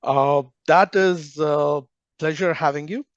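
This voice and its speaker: A man's voice: on the high side, fairly even intonation, slow, clean tone, dry recording, muffled recording. A man hosting an interview podcast, introducing his guest hesitantly with many fillers. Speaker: podcast host.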